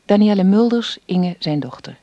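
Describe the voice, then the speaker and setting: A male radio announcer reads scripted drama credits. His voice sits fairly high and is recorded dry and close. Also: lively intonation, moderate pace, warm tone, no echo, highs cut off.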